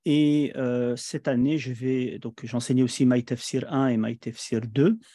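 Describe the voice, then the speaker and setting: A man born in Belgium, an imam and teacher, introducing himself in a measured way with many pauses. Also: mid-range voice, steady tone, warm tone, no room sound. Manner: measured, hesitant with fillers. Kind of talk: online presentation.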